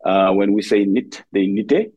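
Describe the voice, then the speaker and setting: Man, Senegalese accent, very deep, husky, dry close sound, thoughtful and searching. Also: measured pace, a little mellow, dry recording, highs cut off. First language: Wolof. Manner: thoughtful, searching for words, frequent restarts. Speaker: guest, dance professor. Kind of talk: conversational interview.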